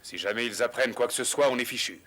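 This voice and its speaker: An actor playing a noble, resolute outlaw leader in a French cartoon dub. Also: male voice, medium pitch, fast, a bit bright, close to an everyday voice.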